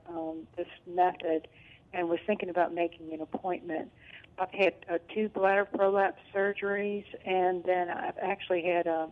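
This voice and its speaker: Female caller, hesitant and filler-heavy, low-pitched, heard over the phone. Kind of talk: call-in question. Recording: phone line.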